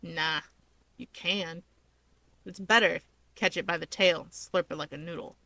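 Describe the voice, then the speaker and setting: Casting: big gruff young male guild mage read by a woman, terse, introspective, secretly tender, story narration, dry close recording. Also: fairly low pitch, steady tone, moderate pace, bright, forceful tone, no room sound, exaggerated voice. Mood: matter-of-fact.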